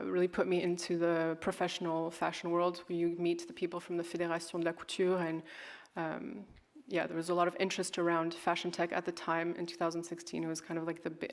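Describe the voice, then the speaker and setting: A woman in her thirties gives a stage talk about her own work as a designer. Her voice sits low and stays fairly level, and she speaks in an unhurried, searching way, full of pauses, ums and restarted phrases. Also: monotone. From grew up in California. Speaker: artist and fashion designer presenting her work.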